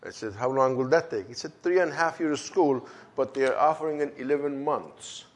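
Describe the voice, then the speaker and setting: A man's voice: mid-range voice, brisk. Fervent man with a German-Turkish accent. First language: not English. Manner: emphatic, fervent storytelling with acted-out dialogue. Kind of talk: personal testimony.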